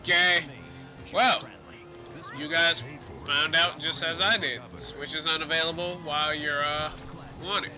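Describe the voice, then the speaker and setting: A man's voice: fairly high pitch, fairly even intonation, unhurried, pauses often, music in the background. Congested young man chatting over gameplay. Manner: loose, rambling, swears casually. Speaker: gaming commentator. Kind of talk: casual gameplay commentary.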